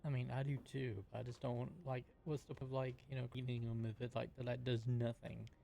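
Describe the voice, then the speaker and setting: A man takes part in a tabletop roleplaying game. His voice is relaxed and mellow, with plenty of fry at a middle pitch. He casually narrates and talks as his character. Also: brisk.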